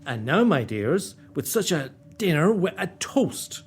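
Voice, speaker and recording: An actor playing a meek, kindly poor clerk and father who speaks gently and hesitantly in a radio play. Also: medium pitch, sing-song, measured pace, husky, heavily acted. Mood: gentle.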